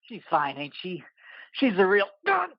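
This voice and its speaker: A man's voice: high-pitched, wildly swooping pitch, measured pace, vocal fry, dry recording, pitched above the performer's own voice, exaggerated voice. A man puts on a menacing, mutating gang biker for an audio play, sneering and taunting. The voice sits raised, on a dry and slightly dull recording. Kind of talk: audio drama acting.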